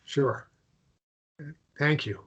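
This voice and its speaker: Man playing small-town American traveller: hesitant, stammering, apologetic, quietly melancholy drama role. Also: extremely slow, lo-fi sound. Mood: polite, sheepish. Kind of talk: drama table read.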